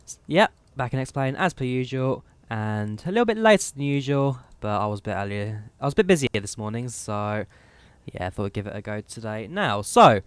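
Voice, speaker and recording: A man's voice: medium pitch, clean tone, no room sound. A young man with a slightly worn voice, casually talking viewers through setting up a flight sim cockpit and reading out codes and numbers. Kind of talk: casual live commentary while setting up a flight.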